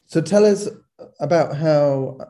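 A man interviewing a guest, asking questions in a halting way with repeated words. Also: fairly high pitch, dark tone.

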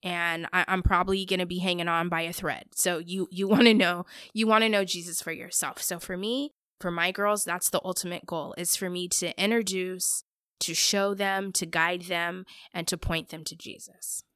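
Bright-voiced woman, close and dry. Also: fairly low pitch, fairly even intonation, normal speed, clean tone, no room sound. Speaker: guest, parent. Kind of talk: casual conversational interview answers.

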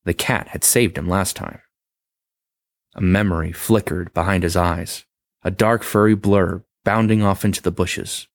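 Deep-voiced man reading a horror story. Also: dry recording. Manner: measured, dramatic pacing. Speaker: story reader. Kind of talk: scripted horror story narration.

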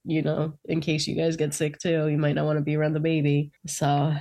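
A woman's voice: sits low, completely flat delivery, brisk, mellow. A woman co-hosting a book podcast, chatting casually while congested and a little nasal from a cold. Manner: laid-back, self-mocking, laughs often. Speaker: podcast co-host. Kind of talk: casual chat.

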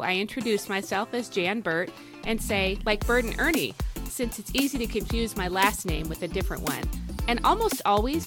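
Stuffy-nosed woman hosting a devotional podcast. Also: medium pitch. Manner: earnest and emphatic, repeats phrases for stress; chatty with fillers and asides. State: stuffy from a head cold. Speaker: podcast host.

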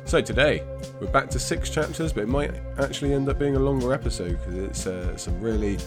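A man's voice: medium pitch, a little fast, music in the background. A man from England hosting a book podcast in a chatty, self-deprecating way, his voice congested and his throat scratchy from a cold. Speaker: podcast host. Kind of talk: casual book podcast monologue.

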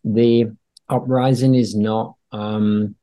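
A man's voice: lowish voice, little pitch movement, slow, warm tone. A man in a casual podcast discussion, explaining his points in an assertive, wry way. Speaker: podcast panelist.

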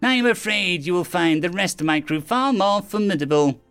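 A man acts out a menacing alien starship captain for a sci-fi audiobook. The voice is pitched high and swings widely. It speaks formally and theatrically, with a proud, bitter sneer, over music. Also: animated, normal speed, fairly bright tone, clear, resonant tone. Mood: cold disdain.

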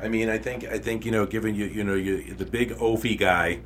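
A man's voice: fairly low pitch, steady tone, fairly quick, a crowd in the background. A man hosting a casual podcast interview, talking loosely with lots of restarts and fillers. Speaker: podcast host interviewing a musical cast.

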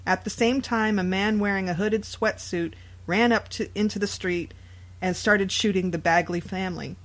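A woman with a voice of medium pitch co-hosts a true crime podcast. She recounts cases in a casual, conversational style and comments on them with dry sarcasm. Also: fairly even intonation, moderate pace. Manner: sarcastic asides. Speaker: podcast co-host. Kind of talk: casual true crime chat, reading out stories.

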